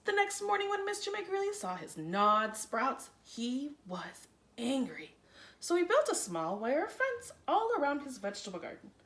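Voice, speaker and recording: High-pitched, very animated woman reading a storybook. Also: unhurried. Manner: theatrical, expressive, with dramatic emphasis and hushed sound words. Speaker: children's story reader. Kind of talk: animated read-aloud of a children's picture book. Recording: roomy, echoey room sound.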